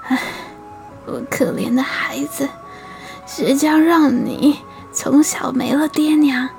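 Narrator doing a gentle, tearful storybook grandmother, hushed, over soft music. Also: high-pitched, takes it slowly, creaky, voice pushed up, heavily acted. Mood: tender. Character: tearful older rich widow in beggar's rags.

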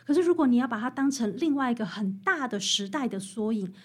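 This woman speaks Mandarin with a Taiwanese accent in a fairly high voice with a husky grain. As an interview guest she explains things earnestly and conversationally, elaborating in repeated, parallel phrases. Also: expressive, quick pace.

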